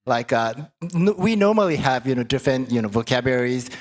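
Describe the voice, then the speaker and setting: Halting male moderator, many fillers. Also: medium pitch, lively intonation. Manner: halting, heavy use of "you know" fillers. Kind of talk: panel discussion questions.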